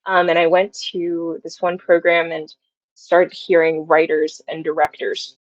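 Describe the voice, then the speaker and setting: A woman's voice: low voice, flat delivery, unhurried, no echo, lo-fi sound. A young woman telling stories casually and effusively as an interview guest, often acting out remembered conversations. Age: young adult. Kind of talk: casual, anecdotal interview talk.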